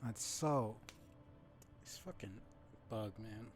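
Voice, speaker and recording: A man's voice: on the low side, slow. A man giving casual live commentary as he plays a game, with muttered, offhand reactions. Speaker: game streamer.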